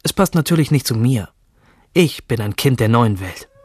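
A man's voice: mid-range voice, expressive, normal speed, darkish tone, breathy edge. An actor playing an obsessed, detached young man of a future elite, delivering a brooding, introspective monologue in a German radio drama. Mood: detached, wry.

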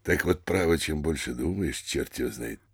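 An actor playing a blustering, frightened middle-aged provincial mayor in a classic comedy audio drama, grumbling and exclaiming theatrically. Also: male voice, moderate pace, no echo, clean studio sound. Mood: worried musing. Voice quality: gravelly, husky bass.

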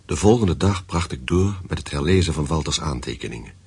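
A man's voice: very deep voice, animated, brisk, darkish tone, slightly rough, no echo, full character voice. An actor playing a reflective, observant man who narrates his own story in a radio play, sober and introspective.

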